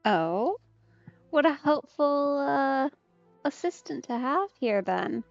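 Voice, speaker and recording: A woman's voice: high-pitched, lively intonation, slow pace, creaky, no room sound, muffled recording. A woman giving casual live commentary as she plays a video game. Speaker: streamer, host of a game let's play. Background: video game music.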